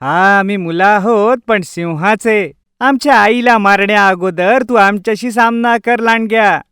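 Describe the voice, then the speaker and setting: An actor voicing a brave, loyal little lion cub in an animated story, earnest and heroic in a childlike way. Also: mid-range voice, unhurried, smooth, dry recording, clean studio sound, lightly acted. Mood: brave, defiant. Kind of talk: animated story character voice acting.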